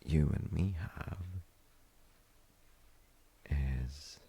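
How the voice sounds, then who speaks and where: A man playing a gentle, thoughtful, reassuring dad in a soft-spoken comfort roleplay, hesitant and reflective, with a husky, gravelly voice. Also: extremely low pitch, full character voice. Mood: tender, reassuring. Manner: hesitant, reflective, pausing mid-sentence. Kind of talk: soft-spoken sleep-aid audio roleplay.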